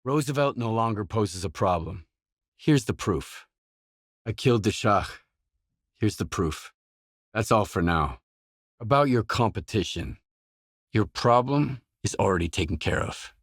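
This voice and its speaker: An actor playing a gruff, guarded, hard-bitten mercenary in a video game, speaking in terse, blunt, businesslike lines. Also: male voice, fairly low pitch, animated, measured pace, long pauses, no echo, studio quality, exaggerated voice.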